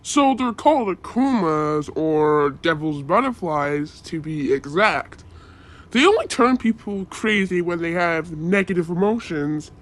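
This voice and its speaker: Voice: medium pitch, lively intonation, a little slow, lightly acted. A person acting an alarmed, bewildered onlooker in an amateur disaster drama, speaking hesitantly with exclamations. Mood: worried. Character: bewildered, alarmed onlooker watching butterflies attack.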